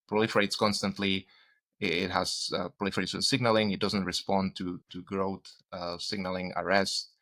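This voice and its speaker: Man, lowish pitch, bright forceful voice, halting technical explanations, interview guest. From Czech Republic, grew up in Spain. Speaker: guest, doctor and cancer researcher.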